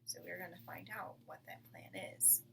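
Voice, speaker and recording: A woman reading a children's book aloud in an expressive, deliberate storytelling manner. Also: lowish voice, gravelly, whispered, far from the mic. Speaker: storybook reader.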